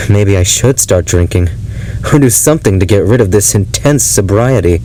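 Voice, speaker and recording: An actor playing an unhinged, obsessive ex-lover confessing darkly in an audio drama monologue, halting and brooding. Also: male voice, mid-range voice, dark tone, a little hiss or hum, natural voice, low-quality recording. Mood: brooding, haunted. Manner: halting, brooding, breaking into unhinged laughter and intensity.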